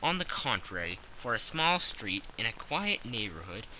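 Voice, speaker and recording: A male narrator reads literary prose for an audiobook in a very bright, forceful voice. His pitch moves in lively sweeps while the pace stays slow, with faint rain noise behind him. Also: poor audio.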